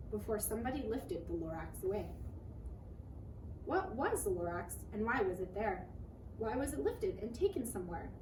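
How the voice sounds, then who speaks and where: A woman reading a children's rhyming picture book aloud in an expressive, dramatic way. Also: fairly high pitch. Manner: animated, dramatic rhyming delivery. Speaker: read-aloud storyteller. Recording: roomy, noisy recording, distant mic.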